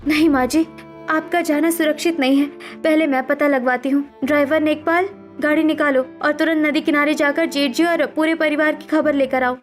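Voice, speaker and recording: A woman's voice: high-pitched, fairly even intonation, moderate pace, faint music behind, lightly acted. A woman voicing a determined, anxious young daughter-in-law in a cartoon story, earnest and emphatic. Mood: urgent. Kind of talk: dramatic Hindi cartoon story acting.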